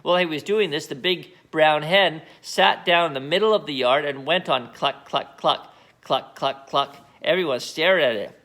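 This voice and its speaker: A man reading a children's book aloud as an expressive narrator. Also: fairly high pitch, normal speed. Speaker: storybook reader.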